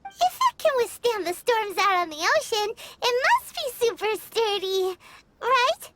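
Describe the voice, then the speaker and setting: An actress voicing a tiny, excitable floating fairy companion who talks about herself in the third person. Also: female voice, extremely high pitch, measured pace, very noisy, heavily acted. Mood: curious.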